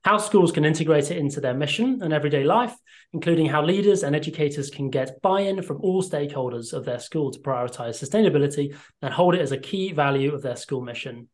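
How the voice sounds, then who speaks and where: Guy hosting a podcast, a bit higher voice, keeps it polite with ums. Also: lively intonation, fast. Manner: polite, brief prompts with ums. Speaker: host. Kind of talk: podcast interview.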